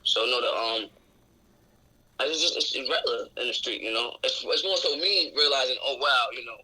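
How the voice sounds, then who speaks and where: A raspy-voiced man in his twenties, a guest on a radio interview, talking openly and reflectively about his life. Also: mid-range voice, normal speed, bright, forceful tone, low-quality recording. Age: 20s. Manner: outspoken, open and reflective. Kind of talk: candid casual conversation. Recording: radio show recording.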